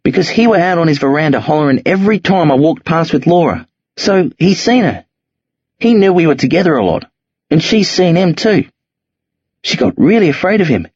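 A man voicing a tough, streetwise teenage boy who talks low and rough in slang on a dull, lo-fi recording. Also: big pitch swings, fairly quick, a little mellow, dry recording. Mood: brooding. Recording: dull lo-fi audio.

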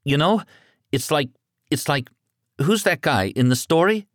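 Man voicing a frightened teen boy, rambling, pitched up high, audiobook. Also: animated, no room sound, clean studio sound. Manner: rapid, rambling, voice rising. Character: scared teenage boy, shaken, rambling.

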